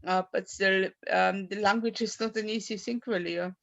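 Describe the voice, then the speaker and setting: A woman in her fifties, a native German speaker from Austria, talks haltingly about her life as a poet, with many fillers and restarts. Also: medium pitch, steady tone, gravelly, fairly bright tone. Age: 50s. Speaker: poet at an open mic. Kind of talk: personal talk and poetry reading. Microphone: video call. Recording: dull lo-fi video call audio.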